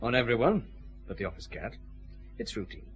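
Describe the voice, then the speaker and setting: An actor playing a weary, courteous detective chief inspector in a naturalistic radio drama. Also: male voice, medium pitch, very animated, brisk, fairly bright tone. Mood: brisk, dry.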